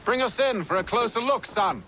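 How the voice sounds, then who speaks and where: An actor playing a haughty, middle-aged English gentleman in a video game, with a biting, sardonic delivery. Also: high voice, animated, moderate pace. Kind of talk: dramatic video game acting. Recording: loud music and action noise behind.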